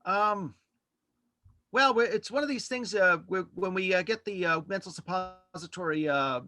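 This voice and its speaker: A man chatting casually as a podcast guest, halting and rambling as he corrects himself. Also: high voice, bright, forceful tone. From Worcester, United States. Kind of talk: casual interview chat. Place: virtual studio online call.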